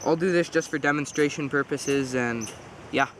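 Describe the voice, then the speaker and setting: A man's voice: on the high side, normal speed, very noisy. A man with a head cold casually demonstrating survival gear outdoors, rambling and correcting himself as he goes. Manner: relaxed, rambling, self-correcting. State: congested with a cold. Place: outdoors by a pond.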